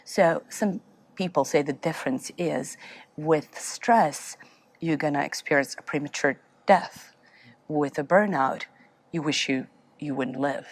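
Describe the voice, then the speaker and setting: A woman from Serbia, a therapist answering interview questions, halting and searching for words. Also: low-pitched. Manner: speaks with a foreign accent, halting, searching for words. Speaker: therapist guest.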